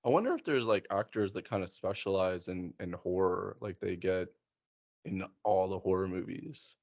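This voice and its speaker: Man, mid-pitched voice, tentative casual chat, musing, dry close sound, slightly dull audio. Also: moderate pace, a little mellow, no room sound. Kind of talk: casual chat about films.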